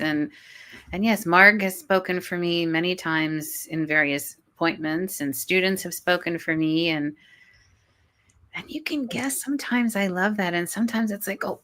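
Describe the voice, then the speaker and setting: A woman guest talking earnestly in an interview, somewhat low in pitch. She restarts and repeats words often, and her voice sometimes lifts into a laugh. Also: fairly bright tone. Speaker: guest, college professor. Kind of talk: conversational interview.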